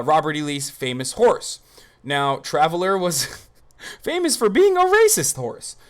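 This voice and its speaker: A man doing unscripted livestream commentary, emphatic and argumentative, with casual swearing. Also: fairly high pitch. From Turkey. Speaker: streamer and political commentator.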